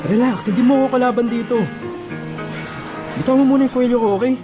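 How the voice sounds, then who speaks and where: A man's voice: very high voice, fairly even intonation, fast. A man playing a blunt, exasperated but loyal buddy in a colloquial Filipino radio drama. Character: exasperated loyal male buddy urging friend to give up. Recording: loud music bed behind dialogue.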